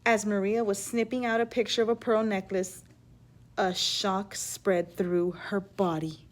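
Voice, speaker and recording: A woman reading a children's story aloud in an expressive, teacherly way, pausing to explain words and ask questions. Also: mid-range voice. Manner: animated, emphatic reading with hushed asides.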